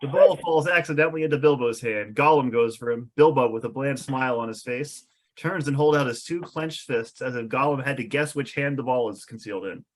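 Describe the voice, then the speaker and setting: A man's voice: mid-range voice, brisk. Man narrating script directions in a medium voice. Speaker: narrator reading stage directions. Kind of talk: scripted narration at a table read.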